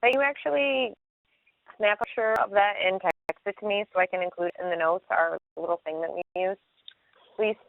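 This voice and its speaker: A woman's voice: mid-range voice, a little fast, vocal fry, no echo. Woman running a casual how-to conference call, thin phone sound, pretty dry. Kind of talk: casual instructional group call. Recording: narrow tinny phone conference line.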